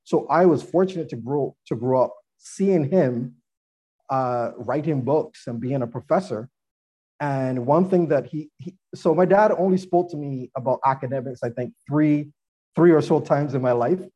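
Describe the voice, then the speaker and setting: A man's voice: medium pitch, moderate pace, dark tone, husky, poor audio. A man being interviewed on a podcast, telling earnest stories about his upbringing and laughing now and then. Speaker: guest, former athlete and attorney.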